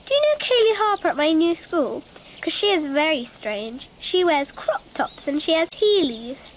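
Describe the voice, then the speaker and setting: A woman's voice: extremely high pitch, big pitch swings, moderate pace, a little hiss or hum. A female performer playing an imaginative, earnest little schoolgirl in a radio drama, rambling and literal-minded as she talks and narrates. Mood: chatty, curious.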